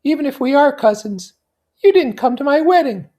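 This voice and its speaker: A man's voice: extremely high pitch, expressive, normal speed, mellow, voice pushed up. A man voicing a dramatic, cynical and flighty young society woman in a theatrical, gushing way from a classic novel. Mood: cynical, wounded.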